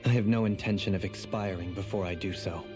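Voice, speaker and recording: An actor playing a refined, melancholy nobleman in a video game, speaking in a formal, measured, solemn way. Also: low-pitched, fairly even intonation, a little mellow, natural voice. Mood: solemn, resolute. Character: refined, melancholy young nobleman and sworn lord. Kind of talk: dramatic video game voice acting. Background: prominent music.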